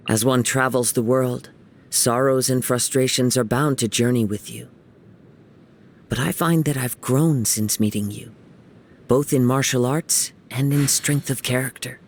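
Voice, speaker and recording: A man voicing a gentle, poetic young wandering samurai for a video game, soft-spoken, measured and reflective. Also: medium pitch, a little hiss or hum. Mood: serene, earnest. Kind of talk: video game character voice lines.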